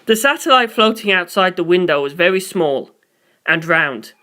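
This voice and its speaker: A man's voice: high-pitched, animated, moderate pace, pushed and bright. A man serves as the narrator of a story. He reads scripted first-person prose, moving through description and inner questions.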